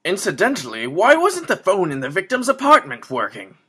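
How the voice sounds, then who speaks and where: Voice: medium pitch, wildly swooping pitch, brisk, pushed and bright, husky, exaggerated voice. An actor playing a stern judge in an anime-style fan dub of a courtroom game. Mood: stern, questioning. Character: stern courtroom judge presiding over a murder trial.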